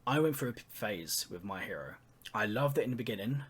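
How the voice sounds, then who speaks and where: A man's voice: fairly low pitch, animated, a little fast, slightly rough. A man hosting a casual podcast, chatting about anime in a teasing, sweary, slangy way. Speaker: podcast host. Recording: noticeable background noise.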